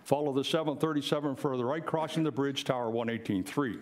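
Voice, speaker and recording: A man's voice: mid-range voice, fast, a little mellow. An older man speaking plainly and explaining things in an informal presentation to a live audience. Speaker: presenter, retired air traffic controller.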